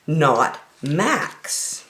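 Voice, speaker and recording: A woman reading aloud to children as a story time host, expressive and drawing out her words. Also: sits low, extremely slow, slight background noise. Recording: roomy, echoey room sound.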